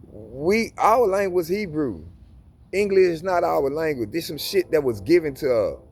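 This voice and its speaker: A man's voice: a little high, very animated, moderate pace, darkish tone, a little hiss or hum. A man giving informal Bible reading and commentary in a casual preaching manner, often restarting and repeating words. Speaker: Bible teacher.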